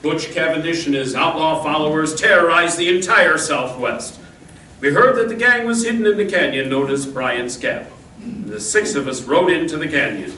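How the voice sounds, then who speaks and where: A man's voice: a little high, moderate pace, sharp tone. A man playing an earnest, fatherly cowboy hero telling his past in an old-time radio western. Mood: earnest, solemn. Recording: distant echoey live stage recording, faint noise.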